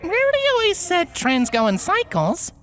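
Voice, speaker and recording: A sweet unicorn mare ghost, voiced by a man with a gentle, soft way of talking. Her voice sits far higher than his own. It sounds faintly distant. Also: huge pitch swings, normal speed, full character voice.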